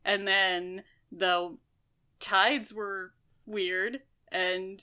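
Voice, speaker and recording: A woman's voice: mid-range voice, level tone, extremely slow, bright, forceful tone, clean tone. A woman podcast host casually discussing a book, explaining things with mock quotes as she goes.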